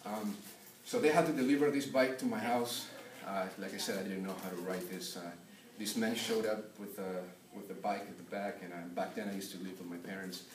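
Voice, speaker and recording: A young man from Peru telling an unscripted personal story as a class speech, halting and full of filler sounds. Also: lowish voice, slight background noise. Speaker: student giving a class speech. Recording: echoey room, voice from a distance.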